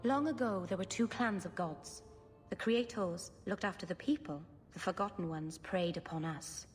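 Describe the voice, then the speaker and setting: A woman's voice: lowish voice, normal speed, faint music behind, close to an everyday voice. An actress playing an earnest female elf storyteller telling myths in a fantasy game. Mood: solemn storytelling. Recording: long reverb, clear background ambience.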